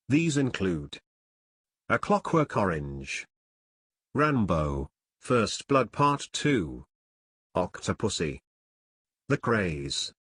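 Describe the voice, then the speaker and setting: A man delivers scripted review narration in a measured, wry manner. His voice sits at an average pitch. The recording is very dry, free of room echo. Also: slightly rough. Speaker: film reviewer.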